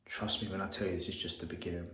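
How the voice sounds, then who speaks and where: A man, talking in a casual, motivational vlog, excitable and swearing often, repeating himself. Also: low voice, racing, echoey room. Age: 20s. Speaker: agency owner vlogging. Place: same room as his earlier videos.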